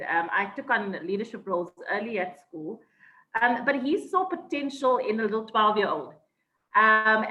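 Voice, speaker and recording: A South African woman telling her life story in an interview, thoughtful, with many ums and restarts. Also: medium pitch. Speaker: guest. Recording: echoey, dull lo-fi sound.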